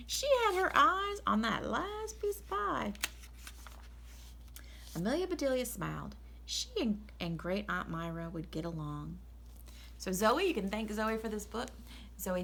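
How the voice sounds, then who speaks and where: Animated woman teacher reading a storybook aloud. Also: medium pitch, gravelly, a little hiss or hum. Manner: encouraging, explanatory. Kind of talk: animated read-aloud with explanatory asides.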